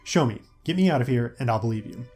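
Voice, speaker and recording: A man's voice: mid-range voice, lively intonation, fast, a little mellow, lightly acted. A man voicing a nervous, skeptical, wisecracking teenage schoolboy in an audio story with music behind. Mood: doubtful. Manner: flustered, quipping.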